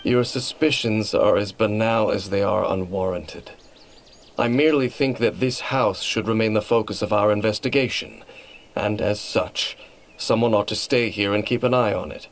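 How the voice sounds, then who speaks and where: An actor playing an aloof, serious male investigator in a video game, speaking in a formal, measured, dry and condescending way. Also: fairly low pitch, a little hiss or hum, close to an everyday voice. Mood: curt, dismissive.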